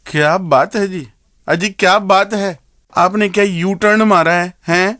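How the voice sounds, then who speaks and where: A man's voice: high voice, fairly even intonation, a little slow, a bit bright, no echo, studio quality. A man co-hosting a radio show with playful, exclamatory banter.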